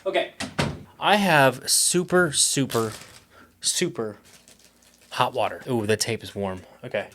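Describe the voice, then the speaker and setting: A man's voice: medium pitch, sing-song, takes it slowly, long pauses, a bit bright, slight background noise. A young man in a casual vlog, thinking aloud with plenty of fillers as he tests music mixes, keen and talkative. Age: young adult. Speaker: music producer testing cassette tape.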